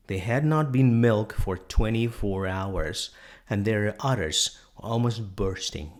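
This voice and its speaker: A man reading a scripted storybook narration in a measured, deliberate manner. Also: lowish voice.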